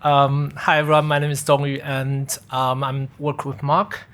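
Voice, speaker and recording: A man's voice: medium pitch, completely flat delivery, a little slow, a bit bright. A man speaking as co-presenter at a technical conference workshop. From Taiwan. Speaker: workshop co-presenter, reverse engineer. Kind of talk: conference self-introduction.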